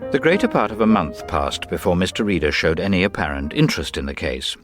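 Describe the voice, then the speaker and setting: A man reading a detective story as scripted literary narration, measured, formal and precise. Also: low-pitched, lively intonation, music in the background.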